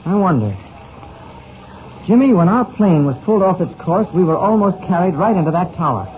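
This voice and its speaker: A confident, earnest reporter in a 1940s radio adventure. His voice is high for a man and his delivery deliberate. The sound is band-limited and a bit noisy. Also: expressive. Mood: suspicious, urgent. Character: earnest, confident male newspaper reporter mentoring a youngster. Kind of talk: 1940s radio serial acting. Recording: old narrow-band radio recording, noisy.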